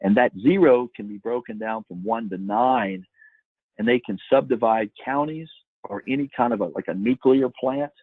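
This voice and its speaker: A man's voice: medium pitch, moderate pace, dry recording. A man answering questions as a guest expert in an informal webinar, giving emphatic advice over a phone-like connection. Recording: tinny phone-line sound, dry.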